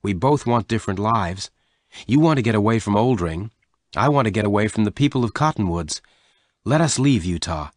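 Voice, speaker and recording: A man voicing a strong young frontier man in an audiobook story, kind, protective and earnest, speaking gently but with resolve. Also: lowish voice, animated, moderate pace, no echo, clean studio sound, natural voice.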